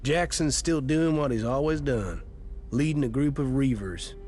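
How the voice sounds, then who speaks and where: Fatalistic ex-outlaw for a game male actor; plain-spoken, halting, mid-range voice over a music bed. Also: medium pitch, darkish tone, lightly acted. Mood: troubled, wary. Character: wary former gang outlaw, troubled and fatalistic. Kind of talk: video game character dialogue.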